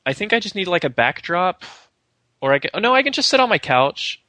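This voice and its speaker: Man, argentine spanish accent, lively up-and-down voice, giggly podcast banter. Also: sits high, fairly quick. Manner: laughs often, playful banter. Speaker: podcast co-host. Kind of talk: casual podcast chat.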